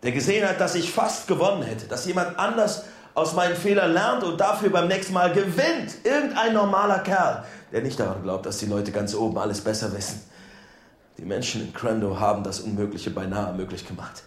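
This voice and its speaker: Actor as humble halting townsman in echoey hall. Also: fairly high pitch, animated, natural voice. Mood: heartfelt. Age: middle-aged. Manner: halting, unpolished, earnest public speaking. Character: plain-spoken ordinary Welsh townsman, humble reluctant political candidate. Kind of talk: radio drama acting, speech to a hall. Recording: echoey public hall sound.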